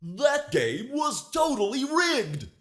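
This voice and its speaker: An actor playing a petulant, whiny plastic toy bear animatronic in a video game, indignant and complaining. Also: male voice, very high-pitched, very animated, takes it slowly, exaggerated voice. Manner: whining, indignant.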